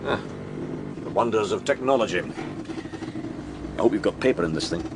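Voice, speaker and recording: An actor playing a gruff senior police inspector in a radio crime drama, weary and wry. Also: male voice, lowish voice, animated, a little slow, background noise. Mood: wry, grim.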